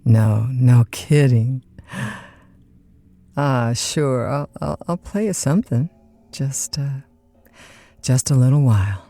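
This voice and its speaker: A woman's voice: a bass voice, slow pace, pauses often, warm tone, heavily acted. A woman playing a chatty, lonesome overnight disc jockey in an audio drama, with a folksy, polite, hesitant conversational manner. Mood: friendly, obliging. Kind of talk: naturalistic audio drama acting, one side of phone calls.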